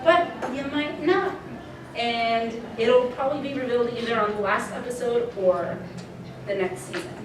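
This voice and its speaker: A woman's voice: a little high, normal speed. A woman hosting an informal convention panel as the creator of a podcast. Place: indoor convention panel room. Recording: echoey, noisy convention room, distant mic.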